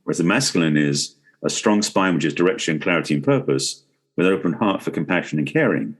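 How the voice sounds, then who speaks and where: A man's voice: low-pitched, lively intonation, fast talker, husky. A man speaking as a podcast guest in a conversational interview, explaining things earnestly. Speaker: podcast guest, relationship expert and author.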